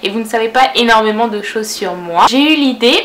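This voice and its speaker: A woman's voice: on the high side, expressive, fairly quick, fairly bright tone, reverberant, slight background noise. A woman in her twenties from France with a Parisian French accent, answering viewer questions in a casual vlog, chatty, with lots of fillers and self-corrections. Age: 20s. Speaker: vlogger.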